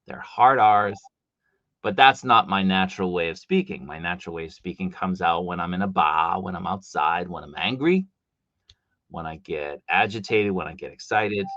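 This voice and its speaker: A man's voice: lowish voice, pushed and bright, no room sound, dull audio. A middle-aged man with a Boston accent hosting a casual livestream, chatting and reading out comments from viewers. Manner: chatty, reads chat comments aloud. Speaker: livestream host. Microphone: desktop mic.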